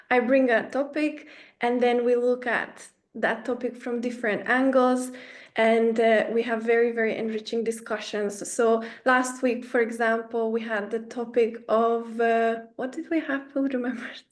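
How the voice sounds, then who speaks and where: A woman's voice: on the high side, little pitch movement, moderate pace. A young woman from Romania leading an online workshop, chatty and self-effacing, with many fillers and restarts. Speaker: workshop facilitator.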